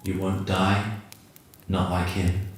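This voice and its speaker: An actor playing a grave, detached alien time traveler, speaking in a measured, solemn way. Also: male voice, deep voice, flat delivery. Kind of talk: fan audio drama acting. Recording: very echoey, music behind.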